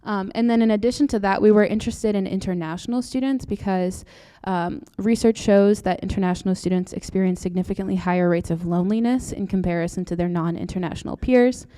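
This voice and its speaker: A soft-spoken young woman from Brazil, a doctoral student giving an earnest presentation into a microphone in a lecture room. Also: medium pitch, steady tone, quick pace, hardly any pauses, clear, resonant tone. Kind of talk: conference presentation. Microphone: handheld or podium mic.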